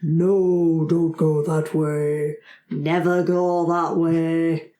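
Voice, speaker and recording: A woman's voice: sits low, flat delivery, very slow, dark tone. A woman voicing a giant stone head, a dutiful maze guardian in a tabletop game, giving ominous short warnings. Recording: roomy echo.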